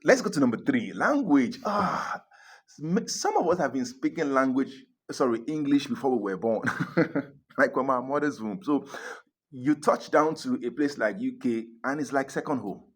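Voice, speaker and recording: A man's voice: mid-range voice, animated, moderate pace, slightly rough. A man vlogging about moving abroad, talking casually to camera, emphatic, repeating his points and chuckling often. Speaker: relocation vlogger.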